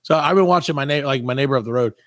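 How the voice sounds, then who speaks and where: A man hosting a comedy podcast, telling casual stories in an animated, sweary way and acting out the dialogue. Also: medium pitch, very fast. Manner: animated, profane, acts out quoted dialogue. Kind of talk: casual comedic storytelling chat.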